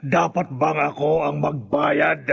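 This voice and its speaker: Gravelly, menacing sorcerer father. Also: fairly high pitch, steady tone, full character voice. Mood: sinister resolve. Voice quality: raspy, gravelly. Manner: slow, menacing, theatrical. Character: menacing older sorcerer father serving Satan. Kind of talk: Filipino radio horror drama acting.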